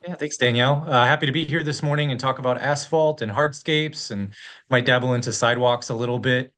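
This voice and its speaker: A man's voice: medium pitch, fairly quick, a bit bright. A man with an Upper Midwest American accent co-hosting a school facilities webinar, talking in an upbeat, easygoing way. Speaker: co-host, school district facilities staff. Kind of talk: casual webinar talk.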